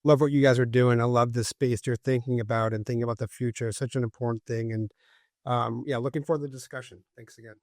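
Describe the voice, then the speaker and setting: A man's voice: medium pitch, fairly even intonation, fast talker, darkish tone, no echo. Reflective middle-aged man, dry sound. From Cambridge, Massachusetts. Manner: reflective, tags points with "right?". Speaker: guest, former FBI agent and CEO. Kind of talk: conversational podcast interview.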